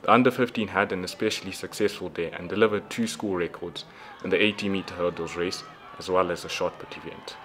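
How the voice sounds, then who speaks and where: Deep-voiced male newsreel presenter. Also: fairly even intonation, brisk, a bit bright. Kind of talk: scripted news narration.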